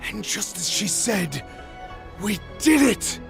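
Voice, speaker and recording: A man voicing a fervent, servile wolf underling in a video game, gravelly, reverent and melodramatic. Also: sits high, sing-song, slow, faint music behind, full character voice. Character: fervent, servile male wolf underling, younger pack zealot. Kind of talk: dramatic video game voice acting.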